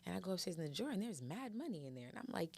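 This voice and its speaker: A woman from Florida, a daycare owner, chatting casually and telling animated, slangy stories on a podcast. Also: fairly low pitch, very fast, creaky.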